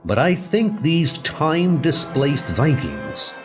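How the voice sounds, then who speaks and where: Man playing a steadfast superhero, unhurried, over music. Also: on the high side, huge pitch swings, voice pushed up, heavily acted. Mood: determined. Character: Superman, steadfast heroic man, wry and confident. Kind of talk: comic book audio dramatization. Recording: music under the voice.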